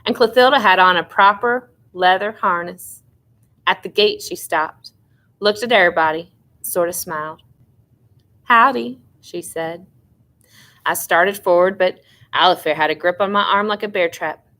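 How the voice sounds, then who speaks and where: A woman's voice: fairly low pitch, moderate pace, a bit bright. A woman teacher reading a novel aloud expressively, with informal asides to her students.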